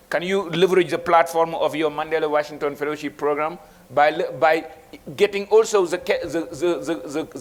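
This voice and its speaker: A man speaking as an investment banker on a panel, emphatic, with rhetorical questions and frequent restarts. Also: a little high. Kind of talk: motivational panel talk.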